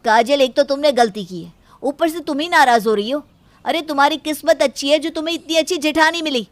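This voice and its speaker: A woman's voice: sits high, fairly quick, no room sound, voice pushed up, lightly acted. A woman voicing a sensible, exasperated husband and younger brother-in-law, reasoning and reproachful, in a story.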